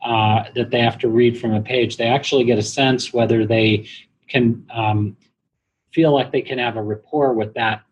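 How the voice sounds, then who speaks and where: A man with a mid-pitched voice answers questions as a guest on a business interview. He explains things in a measured way, pausing and feeling for the next phrase. Noise is clearly audible behind him. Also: lo-fi sound. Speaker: guest, internet marketing company president.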